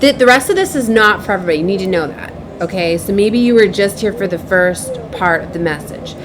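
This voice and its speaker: A woman giving an improvised spiritual message as a tarot reader, speaking in a halting, repetitive, earnest and cautioning way. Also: mid-range voice, animated, background noise. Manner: halting, repeats herself, circles back.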